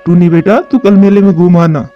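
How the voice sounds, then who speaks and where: A man voicing a kindly, poor father bird who is soft on his daughter in a cartoon. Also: high voice, fairly even intonation, normal speed, dull audio, soft background music, natural voice. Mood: gentle, indulgent.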